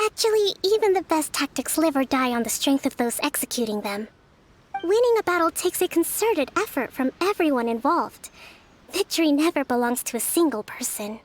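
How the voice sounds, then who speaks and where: A woman voicing a young priestess and strategist in a video game, speaking in a measured, polite, analytical way. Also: sits high, sing-song. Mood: calm.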